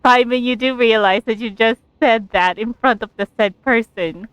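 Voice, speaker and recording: A woman's voice: a little high, normal speed, dull audio, noisy background. A woman streaming a game, giving casual, amused and giggly commentary. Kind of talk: casual live gameplay commentary, reading some dialogue aloud.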